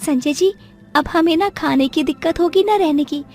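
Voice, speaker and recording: A woman's voice: sits high, moderate pace, darkish tone, soft background music, pitched above the performer's own voice. A woman voicing a cheerful, eager young daughter-in-law who exclaims her lines in a family story. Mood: excited, hopeful.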